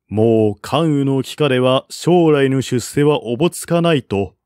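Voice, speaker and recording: Male narrator for historical fiction, formal, unhurried delivery, close dry sound. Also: mid-range voice, dry recording. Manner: formal, measured reading. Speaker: audiobook narrator. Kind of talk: scripted literary narration of a historical novel.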